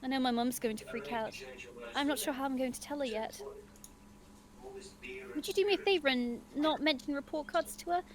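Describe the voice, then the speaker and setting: A giggly, animated woman streamer reading visual novel narration aloud expressively, mixed with casual banter. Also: sits high, fairly quick, long pauses, crowd noise. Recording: game music behind.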